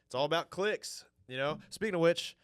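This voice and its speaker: A man co-hosting a casual podcast over a remote call, sounding nasal from a cold and easygoing, with drawn-out fillers. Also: a little high, animated, smooth. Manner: easygoing, drawn-out fillers, upbeat sign-offs. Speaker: podcast co-host. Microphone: virtual podcast, remote call.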